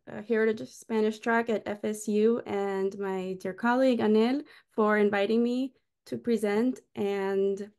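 A woman's voice: mid-range voice, clean tone. A middle-aged woman from Costa Rica giving a friendly, explanatory lecture over a video call from a small room. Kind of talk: online lecture with slides. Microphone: webcam on a video call.

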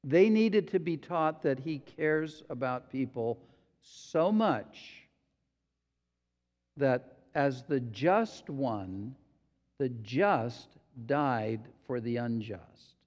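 A male pastor preaching a sermon in a slow, deliberate way, sometimes acting out quotes. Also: medium pitch, expressive, a little mellow. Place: church sanctuary. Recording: long hall reverb.

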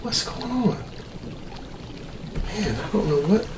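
An earnest middle-aged military mad scientist acted by a man in an ASMR roleplay. He rambles and mutters at an unhurried, slow pace, repeating himself and easily flustered. The sound is distant and echoey. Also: high voice, sing-song, exaggerated voice. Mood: suspicious, anxious. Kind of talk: ASMR roleplay, improvised monologue. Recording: roomy, distant mic with clear noise.

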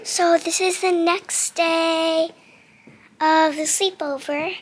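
Young girl, child host of a casual vlog, very high voice, unrushed delivery, playful to camera. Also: steady tone, takes it slowly, slight background noise. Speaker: host of a kids' cooking vlog. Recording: roomy, echoey home-video sound.

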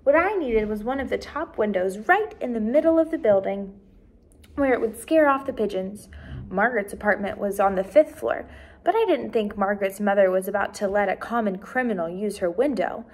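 A woman in her twenties reading a children's book aloud with expressive, clearly enunciated, emphatic phrasing for young listeners. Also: medium pitch, brisk. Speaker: first grade teacher reading aloud.

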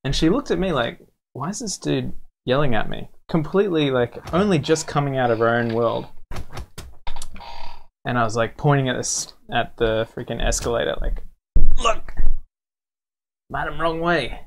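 Male gamer co-host, casual jokey storyteller, mid-pitched, rambling, some background noise and clicks. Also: moderate pace, gravelly, long pauses. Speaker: gamer co-hosting a let's play. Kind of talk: casual gaming banter and storytelling.

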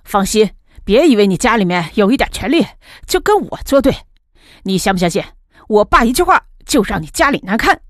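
A woman's voice: mid-range voice, big pitch swings, fairly quick, no echo, studio quality, voice pushed down, close to an everyday voice. A woman voicing an arrogant, menacing rich male student in an audiobook, with a sneering, threatening delivery. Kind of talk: audiobook character dialogue.

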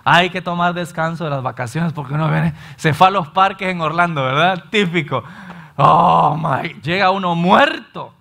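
A Salvadoran pastor in his thirties preaching an animated sermon from a church stage, often acting out his listeners' complaints. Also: on the high side, normal speed, creaky. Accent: Salvadoran Spanish. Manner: animated, gestures widely, acts out listeners' complaints. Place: church stage in front of a large screen.

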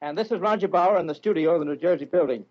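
A man's voice: a little high, very fast. An American man reporting live on 1940s radio, ad-libbing as he goes. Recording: muffled lo-fi 1940s radio recording.

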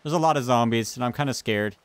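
A man's voice: medium pitch, fast, clear, resonant tone, no echo. A man talking casually with friends while they play a multiplayer video game. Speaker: gamer playing with friends. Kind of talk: casual multiplayer gaming chat.

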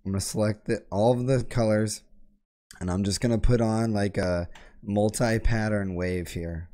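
A young man explains software step by step in a relaxed, casual way, his throat sore and scratchy from being sick. His voice sits a little low and sounds mellow and soft rather than bright. He is close to a boom mic in a home gaming room. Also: moderate pace. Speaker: tech tutorial host. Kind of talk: casual screen-share tutorial. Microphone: boom mic close to face, headset on.

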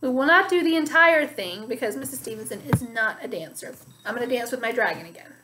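A woman in her thirties teaching a music lesson to young children from home, speaking slowly and clearly and singing phrases for them to repeat, recorded on a laptop webcam. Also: on the high side, expressive, pushed and bright, a little hiss or hum. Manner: slow, clear teacher talk, sings call-and-repeat phrases. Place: dining room at home, indoors. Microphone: webcam or laptop at arm's length.

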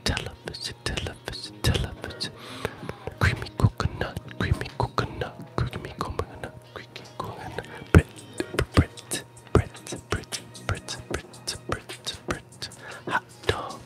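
A man in his forties hosting a playful ASMR stream close to the mic, often whispering and repeating words and phrases. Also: fairly bright tone. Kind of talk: ASMR live stream, whispered trigger words and casual chat. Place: small room at home with gaming screen. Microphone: close handheld recorder on stand with foam windscreen.

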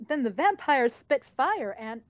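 A woman's voice: high voice, big pitch swings, unhurried, no room sound. A woman doing a live comic dub, reading out the script as a voice actor.

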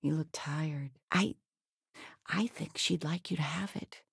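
An actress voicing an affectionate, chatty retired mother in a video game, teasing and expressive. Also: deep voice, measured pace, creaky, breathy edge, dry recording, clean studio sound, exaggerated voice. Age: older. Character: doting, chatty retired mother of grown space explorer. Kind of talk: video game character dialogue.

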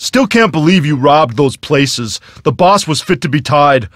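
A man's voice: on the high side, animated, unhurried, no room sound, studio quality. A man voicing a disapproving henchman in a video game, incredulous and slightly scolding.

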